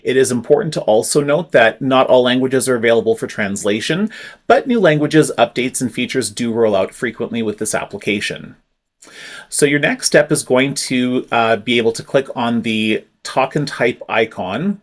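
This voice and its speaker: Male tutorial presenter, mid-pitched voice, clear instructive delivery at a desk mic. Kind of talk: screen-recorded software tutorial.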